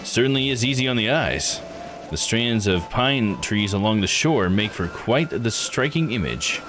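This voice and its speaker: A man voicing a composed, dry-witted seeker of legends in a visual novel, with measured, formal manner. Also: lowish voice, animated, noisy background. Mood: composed, reflective. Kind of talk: visual novel character read aloud. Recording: game music under the voice.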